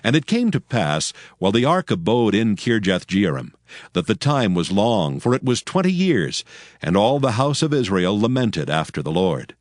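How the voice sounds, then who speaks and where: A man narrating scripture from a script in a solemn, measured, formal manner. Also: fairly low pitch, sing-song, no room sound, clean studio sound. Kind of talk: scripted dramatized Bible narration. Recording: faint music behind the voice. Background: faint background music.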